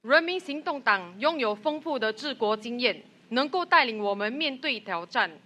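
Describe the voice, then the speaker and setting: A woman's voice: fairly high pitch, lively intonation, moderate pace, pushed and bright. A woman giving an earnest, rousing speech at a political rally. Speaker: rally speaker. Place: outdoor rally with loudspeakers.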